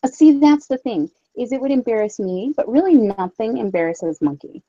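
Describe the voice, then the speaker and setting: A woman's voice: fairly high pitch, expressive, normal speed, dark tone, no room sound, lo-fi sound. A woman with an Upper Midwest American accent, co-hosting a livestream in candid, sweary casual chat. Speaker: co-host of a livestream.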